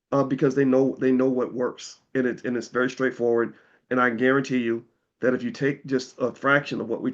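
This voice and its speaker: A slightly hoarse man hosting, giving a casual, persuasive sales pitch to viewers in plain-spoken talk, often repeating words as he restarts. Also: highs cut off. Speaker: host pitching an investing course.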